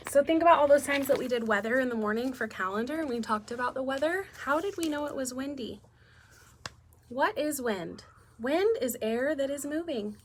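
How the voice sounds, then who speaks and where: A woman's voice: sits high, slight background noise. A woman teacher doing a read-aloud lesson for young children, instructive and often asking them questions. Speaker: elementary school teacher.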